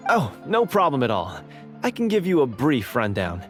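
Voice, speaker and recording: An actor playing a courteous script manager in a video game, polite and articulate with a theatrical storyteller's flair. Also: male voice, mid-range voice, huge pitch swings, normal speed. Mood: polite, explanatory. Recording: music behind the voice.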